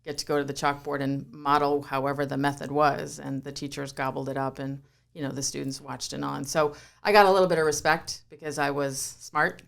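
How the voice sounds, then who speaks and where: A woman in her fifties, born in Croatia with Croatian as her first language, talking calmly as a guest in an interview. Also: low voice, brisk, gravelly. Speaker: guest, yoga studio owner. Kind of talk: relaxed interview conversation.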